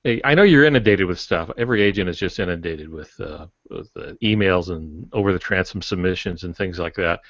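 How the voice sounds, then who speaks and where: A man's voice: low voice, brisk, hardly any pauses, a bit bright, no room sound, highs cut off. A man casually interviewing a guest as a podcast host.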